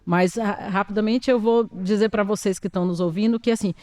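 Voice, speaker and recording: A woman's voice: mid-range voice, steady tone, fairly quick, warm tone. A woman, an occupational therapist answering interview questions in an earnest, emphatic, explanatory way.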